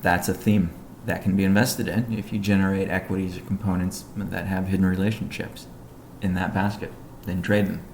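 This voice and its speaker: A man with a low, creaky voice delivers a technical business update on a conference call. His delivery is measured and halting, with little melody. The sound is roomy and echoey. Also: little pitch movement, a little mellow. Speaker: company executive giving update. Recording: echoey room, faint background noise.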